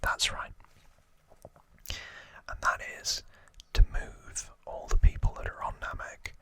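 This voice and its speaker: A man's voice: slow, sharp tone, no room sound. A man whispering an informal ASMR recap into a close microphone, hesitant and rambling as he corrects himself. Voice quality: whispered, unvoiced. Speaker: ASMR video host.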